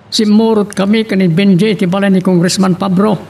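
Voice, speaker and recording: An actor playing a scheming, self-assured father in a radio drama, with a gravelly voice. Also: sits high, fairly even intonation, fairly quick, darkish tone. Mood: firm, boastful. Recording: distant mic, faint background noise.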